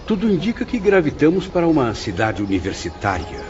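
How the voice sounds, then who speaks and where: Awed male spirit writer, played by an actor, formal, earnest, animated intonation, dull lo-fi drama recording. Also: on the high side, fairly quick, slight background noise. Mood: earnest, curious. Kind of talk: scripted audio drama. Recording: dull lo-fi audio, faint music.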